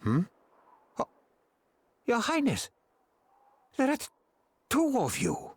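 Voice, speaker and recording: Husky, learned royal adviser. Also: male voice, very high-pitched, huge pitch swings, no room sound, exaggerated voice. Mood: startled. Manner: formal, measured, articulate. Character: learned, courteous male scholar and royal adviser held prisoner. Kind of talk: video game cutscene acting. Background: background music.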